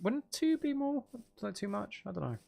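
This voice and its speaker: A man's voice: high-pitched, sing-song, normal speed, gravelly, a little mellow. A man chatting casually as he hosts a live gaming stream, with playful, teasing banter aimed at his viewers. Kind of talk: casual gaming livestream chat.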